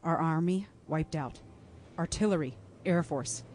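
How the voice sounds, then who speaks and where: A woman's voice: deep voice, measured pace, a little mellow, slight background noise. A woman playing a grave radio announcer reporting an emergency evacuation in an amateur radio play. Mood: grave, despairing.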